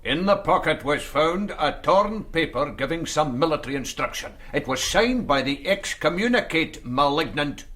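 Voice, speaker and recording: A man's voice: fairly high pitch, lively intonation, normal speed, few pauses, fairly bright tone. A man playing a zealous, censorious in a radio drama, severe and formal in his speech. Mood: condemning. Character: censorious, zealous male Presbyterian accuser.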